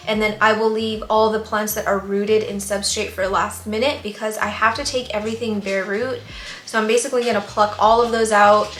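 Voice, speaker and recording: A woman vlogging casually about her plants, rambling with fillers while her voice is going hoarse. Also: mid-range voice, fairly bright tone, echoey room. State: losing her voice. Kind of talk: casual vlog chat.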